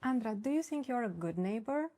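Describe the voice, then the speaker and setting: A woman acting as a speaking exam examiner, reading set questions in a measured, formal way. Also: on the high side, expressive, warm tone.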